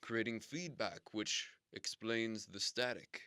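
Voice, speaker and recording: An actor playing a formal, theatrical radio station boss who explains things slowly and swears grand oaths. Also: male voice, fairly low pitch, bright, forceful tone, clean tone, dry recording, clean studio sound. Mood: explaining. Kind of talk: comedic audio drama acting.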